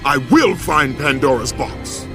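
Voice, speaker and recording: An actor playing an enraged, vengeful Spartan warrior in a video game, speaking in a forceful, declamatory way. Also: male voice, fairly high pitch, lively intonation, unhurried, over music. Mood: furious defiance. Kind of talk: dramatic video game acting. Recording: loud dramatic game score behind.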